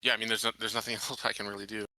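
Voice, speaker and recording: A man's voice: fairly low pitch, flat delivery, rapid-fire, pushed and bright, no room sound. A man playing a tabletop game, chatting casually and stammering a little as he asks and answers. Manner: hesitant, stammering.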